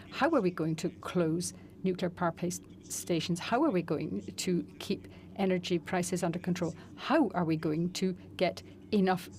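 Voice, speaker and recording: Low-voiced woman interpreter. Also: darkish tone, slight background noise. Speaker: conference interpreter. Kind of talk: simultaneous interpretation of a speech.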